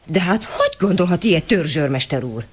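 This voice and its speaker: An actor playing a polite, serious young boy, a devoted son, in an expressive radio drama style. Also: medium pitch, slightly rough. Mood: polite, puzzled. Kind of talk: 1980 radio drama acting.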